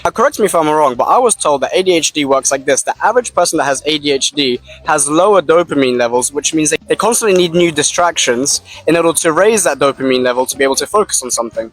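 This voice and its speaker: Young man, Russian native, quick casual money vlog. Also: on the high side, lively intonation, fairly bright tone, dry recording, low-quality recording. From Russian-speaking background. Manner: quick, stumbling at times, casual slang. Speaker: vlogger. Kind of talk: fast-paced money-talk vlog. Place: outdoors.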